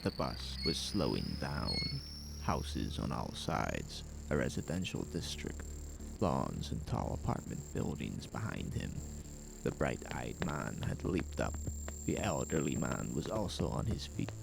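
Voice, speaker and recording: A man with an extremely deep, heavily creaky voice narrates a horror audio drama. He takes his time, measured and suspenseful, while his pitch moves expressively. Music plays clearly underneath. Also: sing-song, rough recording.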